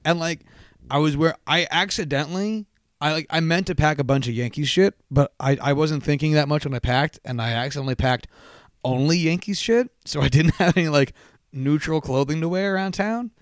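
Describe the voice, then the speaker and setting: A man co-hosting a casual podcast, hoarse-voiced, telling stories animatedly and swearing freely. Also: fairly high pitch, brisk, no echo, studio quality. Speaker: podcast co-host. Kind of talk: casual chat.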